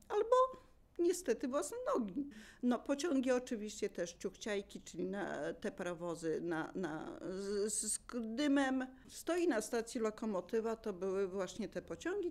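Older female, local resident type, average pitch, relaxed conversational reminiscing. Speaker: local resident sharing memories. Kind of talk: casual reminiscing.

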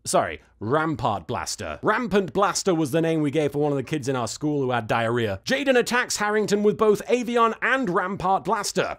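A man in his thirties delivers comedic recap commentary as a host. His voice sits high and moves through a lively range. The manner is sarcastic, expressive and easily exasperated. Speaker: host of a reaction recap show.

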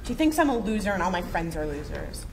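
A young woman actor at a table read, playing a defiant, volatile teenage girl in a shrill, combative voice. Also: very animated, fast. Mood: bitter. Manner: shrill and combative, voice soaring when angry. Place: indoor room at a table. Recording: noisy room recording.

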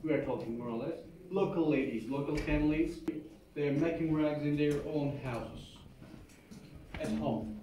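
A man's voice: on the high side, normal speed, dark tone. A man with a Turkish accent, guiding visitors through a carpet workshop and patiently explaining each step, checking in with "okay?" as he goes. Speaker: carpet factory tour guide. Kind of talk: guided tour explanation. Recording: echoey room, from a distance, noisy.

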